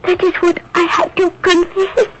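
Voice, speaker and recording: Young wartime resistance woman, very high voice, earnest and strained, radio play, clear background noise. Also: flat delivery, moderate pace. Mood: distraught confessing. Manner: earnest, dramatic, emotionally strained. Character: young anguished French resistance woman smuggling children. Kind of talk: radio drama acting. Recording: noisy old radio broadcast recording.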